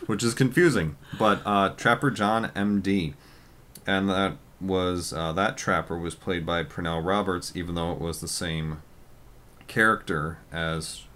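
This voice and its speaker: A man co-hosting a casual podcast, talking loudly and rambling with lots of fillers. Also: sits low, takes it slowly, a little hiss or hum. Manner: loud, rambling with many fillers. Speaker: podcast co-host.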